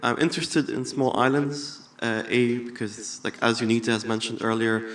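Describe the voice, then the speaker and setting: A man's voice: mid-range voice, little pitch movement, normal speed, a bit bright, a little hiss or hum. A young man from Egypt, speaking up at a conference, hesitant and filling his sentences with "uh" and "like". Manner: hesitant, lots of "uh" and "like". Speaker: conference audience participant. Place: large conference hall.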